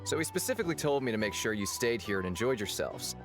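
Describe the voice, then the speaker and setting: A man voicing an affable, theatrical tavern owner in a video game, with a playful, jovial and expressive manner. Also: mid-range voice, quick pace. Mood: easygoing, assured. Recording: music behind the voice.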